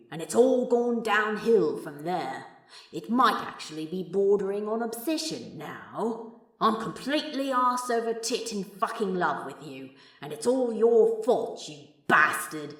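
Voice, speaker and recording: Casting: tormented male demon for audiobook fan fiction, performed by a woman, impassioned and halting, very animated, echoey recording. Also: mid-range voice. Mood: anguished, exasperated. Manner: sweary, impassioned, halting confession. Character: lovesick, foul-mouthed male demon, tormented and exasperated. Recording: echoey, distant from the mic.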